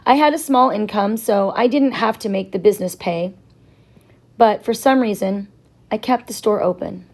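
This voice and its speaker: A woman's voice: medium pitch, normal speed, a little mellow, lightly acted. Woman reading as reserved, sorrowful older male professor. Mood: resigned.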